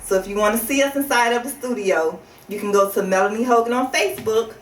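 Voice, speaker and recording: A middle-aged American woman from Chicago hosting a radio show, talking casually and motivationally to listeners in a halting, deliberate way. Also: a little high. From Chicago, United States. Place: small radio studio. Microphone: studio microphone on desk. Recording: roomy, distant mic, faint background noise.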